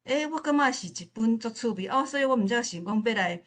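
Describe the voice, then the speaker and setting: 臺灣腔查某人，講話頓頓、重複. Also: female voice, a little high, expressive. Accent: Taiwanese. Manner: halting, repeats phrases. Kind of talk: informal spoken remarks at a literature forum.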